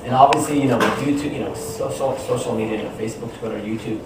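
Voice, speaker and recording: A man's voice: mid-range voice, fast talker. Man presenting in a very echoey room. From born in Damascus, Syria, moved to the US when young. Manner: conversational, often checking with "you know" and "right?". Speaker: guest speaker, activist. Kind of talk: informal public presentation. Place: indoor room in front of an audience. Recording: very echoey room, distant mic, clear noise.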